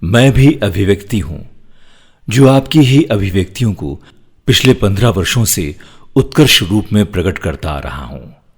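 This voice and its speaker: A man's voice: on the low side, expressive, moderate pace, a little mellow, slightly rough. A man voicing a festival's own spirit, addressing devotees in an emotive, poetic, declamatory way in a scripted monologue. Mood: devoted, tender.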